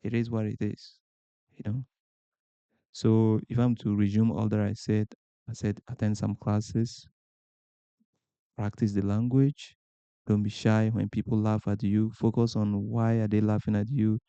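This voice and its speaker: A man with a Ghanaian accent gives earnest, motivational advice. His voice is low, very dark and gravelly, and he asks rhetorical questions and repeats his points for emphasis. The sound is dry and a little muffled. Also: level tone, measured pace, pauses often, dry recording. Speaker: podcast host. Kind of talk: motivational talk to camera.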